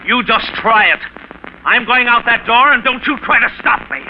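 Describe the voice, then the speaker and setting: A man's voice: extremely high pitch, moderate pace. A man playing a panicked, paranoid, pleading fugitive in a melodramatic 1940s radio drama. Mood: hysterical defiance. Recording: muffled, noisy 1940s radio recording.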